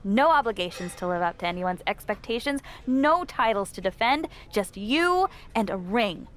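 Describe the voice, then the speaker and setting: A woman playing an understanding, encouraging figure in a video game cutscene, earnest and reassuring with dramatic pauses. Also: medium pitch, animated, natural voice. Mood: enticing. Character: understanding, encouraging woman coaxing a stressed wrestler.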